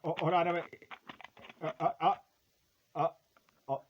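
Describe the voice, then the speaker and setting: A man presenting a retro collectible in casual, rambling, halting chat, with a playful, hammy, folksy delivery and drawn-out words. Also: fairly high pitch, fairly even intonation, lo-fi sound, a little hiss or hum.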